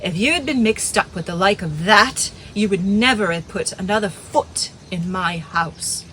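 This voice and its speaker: A woman reading an audiobook, voicing a crafty, blustering one-legged male pirate cook with loud sea-dog talk. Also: mid-range voice, normal speed, a little hiss or hum, natural voice.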